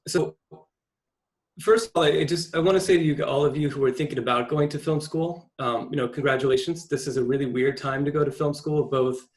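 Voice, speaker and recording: A man's voice: a little high, fairly even intonation, a little fast. A man hosting an informal online discussion as a film school chair, with an encouraging manner on a video call.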